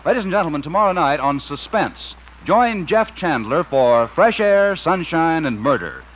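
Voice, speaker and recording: A man's voice: fairly high pitch, animated, normal speed, lo-fi sound. A man announcing in polished, emphatic 1950s network radio style on an old recording. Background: music.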